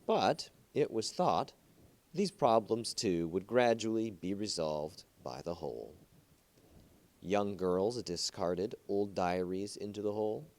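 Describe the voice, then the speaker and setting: A man narrating a short story as an amateur reader, in measured, deliberate storytelling phrases. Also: lowish voice, expressive, darkish tone.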